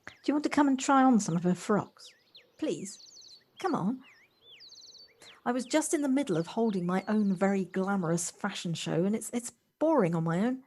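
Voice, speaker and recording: A woman's voice: mid-range voice, animated, moderate pace, a little mellow, lightly acted. A woman playing an eager, inquisitive newcomer in her forties in an amateur audio drama, sometimes hesitant. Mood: coaxing, friendly. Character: inquisitive, eager forty-five-year-old woman newcomer. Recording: noisy home recording on personal device.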